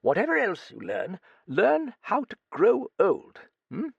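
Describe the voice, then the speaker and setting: A man's voice: sits high, wildly swooping pitch, a little slow, vocal fry, breathy edge, no room sound, highs cut off, voice pushed up, heavily acted. A man reading an audiobook, voicing a blunt, hearty old woman who swears freely and talks with cheeky bluster. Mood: bluff, gossipy. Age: older.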